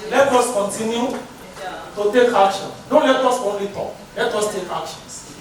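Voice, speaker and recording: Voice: mid-range voice, unhurried. A speaker giving an earnest, rallying conference address in a large hall. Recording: echoey hall from far off.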